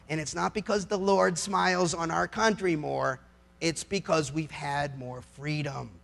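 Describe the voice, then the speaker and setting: An American man delivers a persuasive speech to an audience. His voice sits high for a man and has plenty of creak in it. He is emphatic and colloquial, often restating a phrase to make it land. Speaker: lawyer giving a talk as public speaker.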